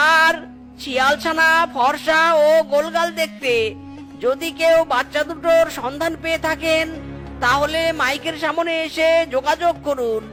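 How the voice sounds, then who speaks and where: A woman's voice: sits high, fairly bright tone. A woman voicing a kind, caring father figure in a children's cartoon. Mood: announcing. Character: kind fatherly male animal, caring husband. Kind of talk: cartoon voice acting.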